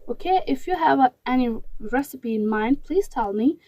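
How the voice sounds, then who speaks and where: A woman's voice: high voice, animated, moderate pace, mellow, dry recording. A woman voicing a considerate, sensible wife and mother in a children's story. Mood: gentle, advising.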